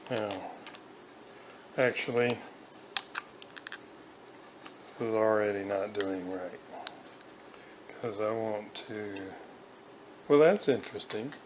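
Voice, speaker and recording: An older man giving an unscripted hands-on workshop demonstration, talking haltingly and pausing mid-sentence. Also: lowish voice. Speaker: hobbyist restorer demonstrating a repair. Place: indoors at a home kitchen counter. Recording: noisy home video, room ambience.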